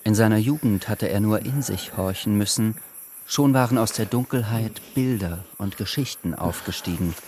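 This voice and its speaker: A man's voice: on the low side, moderate pace, darkish tone, slight background noise. A man narrating a literary radio drama in a scripted storytelling style. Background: faint music.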